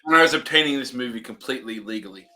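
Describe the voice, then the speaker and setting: A man's voice: medium pitch, racing, pushed and bright. A young man co-hosting a casual movie review, joking and laughing often. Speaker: co-host.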